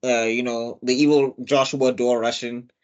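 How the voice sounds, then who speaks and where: Male co-host, loose rambling recap delivery, mid pitch. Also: fairly even intonation. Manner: rambling, halting with many fillers and restarts. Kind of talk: casual chat.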